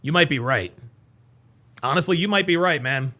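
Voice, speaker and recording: A man giving casual live reaction commentary as a host, mockingly repeating what others say in an animated way. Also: on the high side, moderate pace.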